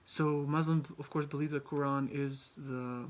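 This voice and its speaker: Halting young man giving a class talk. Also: fairly high pitch, level tone. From Albania. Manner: halting, many ums and restarts. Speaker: student presenter. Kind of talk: class presentation.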